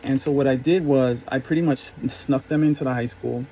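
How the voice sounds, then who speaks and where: A man's voice: medium pitch, fairly even intonation, fairly quick, warm tone, rough recording. A middle-aged man telling a personal story in a casual, rambling, reflective way. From New York area, US. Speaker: storyteller, former concert promoter.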